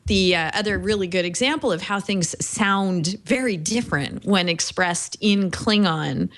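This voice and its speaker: A woman's voice: mid-range voice, measured pace. A woman hosting a podcast panel, poised and steering the talk with questions. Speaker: podcast host.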